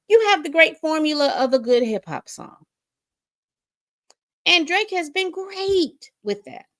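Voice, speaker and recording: A woman in her fifties giving a casual commentary monologue, emphatic and repetitive, often restarting her sentences. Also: on the high side. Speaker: commentary host.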